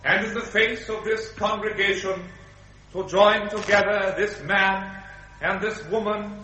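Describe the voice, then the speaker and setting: A man's voice: high-pitched, steady tone, a little slow, sharp tone, soft background music. An actor playing a solemn clergyman in a radio drama, intoning declamatorily. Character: solemn clergyman conducting a royal wedding. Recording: very echoey church acoustic, dull, faint noise.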